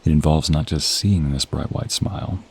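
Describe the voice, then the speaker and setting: A man playing a confident, flirty office coworker in a romantic audio role play, with a smooth-talking, teasing, intimate manner. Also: extremely low pitch, normal speed, a little mellow, exaggerated voice. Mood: tender, teasing.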